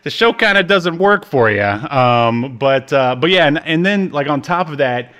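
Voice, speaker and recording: A man's voice: a little high, animated, moderate pace, fairly bright tone, clear, resonant tone. A man co-hosting a podcast, chatting casually and comedically with a joking, sarcastic way of talking. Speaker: podcast co-host. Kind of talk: casual comedic chat about a reality TV show.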